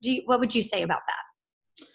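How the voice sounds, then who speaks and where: A woman's voice: a little high, moderate pace, creaky. A woman hosting an online interview, asking long, friendly, rambling questions with fillers, her throat a little sore. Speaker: interview host. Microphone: computer on a video call.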